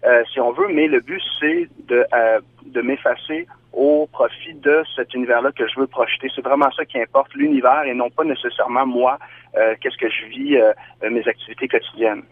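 A man from Quebec with a Québécois accent, a singer-songwriter guest giving thoughtful, measured answers in an interview. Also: mid-range voice. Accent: Québécois French. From Quebec, Canada. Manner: thoughtful, measured, explaining ideas at length. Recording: tinny dry phone line.

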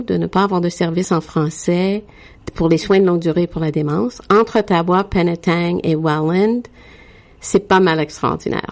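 A woman, speaking as an interview guest. Her voice sits a little low and has a gravelly edge. She recounts her story at an easy pace, full of hesitant pauses. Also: darkish tone. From Quebec. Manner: frequent hesitations with "euh", unhurried.

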